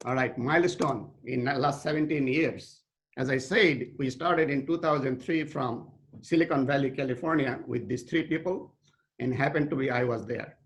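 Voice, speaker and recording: Middle-aged guy from bangladesh walking through slides, some fry in his voice, stops and restarts a lot. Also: mid-range voice, low-quality recording. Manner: unscripted, halting, with restarts. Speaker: nonprofit co-founder presenting. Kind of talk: slide presentation.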